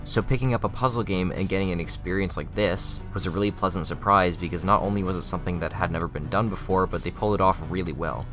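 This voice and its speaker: A young man delivers scripted video essay narration. His voice is low and he moves along quickly, with faint music underneath.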